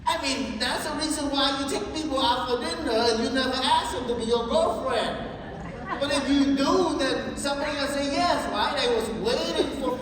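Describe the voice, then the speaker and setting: Man lecturing in a big echoey hall. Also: extremely high pitch. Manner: joking, storytelling, keeps checking in with the audience. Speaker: sales trainer. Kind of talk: motivational sales training talk. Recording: echoey hall, distant mic, background noise.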